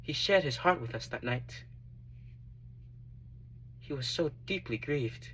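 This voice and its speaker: A man performing a dramatic monologue as a repentant tax collector turned devoted disciple, earnest and reflective, with deliberate emphatic swells. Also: a little high, lively intonation, a bit bright, a little hiss or hum, voice pushed up, poor audio. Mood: grieving. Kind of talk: dramatic monologue, first-person testimony.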